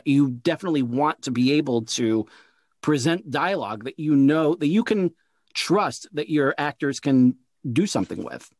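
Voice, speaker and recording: A man's voice: fairly high pitch, dry recording. Male host, casual and rambling, restarts phrases, dry close recording. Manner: rambling, repeats words while thinking. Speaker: interview host and producer. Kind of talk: casual interview conversation.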